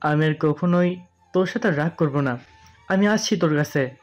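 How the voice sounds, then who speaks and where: A man's voice: on the high side, moderate pace, soft background music, lightly acted. An actor playing a distraught, devoted and remorseful young man in a Bengali audio story, beseeching emotionally. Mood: remorseful pleading.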